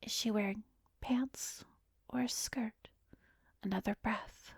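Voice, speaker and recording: A woman playing a calm, coaxing female therapist in a whispered ASMR hypnosis roleplay, soothing and measured, with a soft breathy voice close to the microphone. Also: on the high side, no room sound, heavily acted. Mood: soothing, hypnotic. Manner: slow, soothing, measured guiding with pauses. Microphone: close microphone, dry recording.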